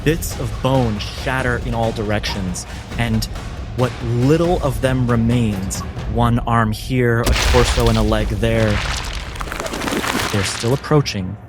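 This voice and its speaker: A man's voice: medium pitch, slow, loud music behind the voice. A man narrating as game master, describing the scene and calling turns in a tabletop role-playing game.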